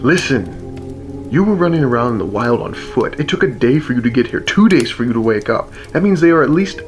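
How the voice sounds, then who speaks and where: A world-weary fantasy rebel played by a man speaks in a radio drama over music. He is wry and teasing, matter-of-fact about danger, and gruffly protective at a middling pitch. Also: animated, a little fast, close to an everyday voice. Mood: sardonic warning. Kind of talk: fantasy radio theater acting. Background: music under the voice.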